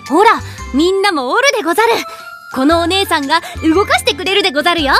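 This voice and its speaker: A woman's voice: extremely high pitch, expressive, fast talker, a bit bright, faint music behind. An actress playing a cheerful samurai girl with quaint old-fashioned speech in a Japanese anime audio drama. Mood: cheerful, friendly.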